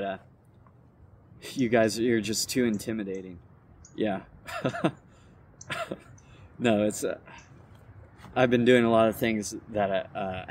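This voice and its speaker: A man's voice: lowish voice, a little hiss or hum. Man reading a story, slightly distant. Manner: dramatic delivery, stumbles and self-corrects. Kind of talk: reading a comic short story aloud with informal asides.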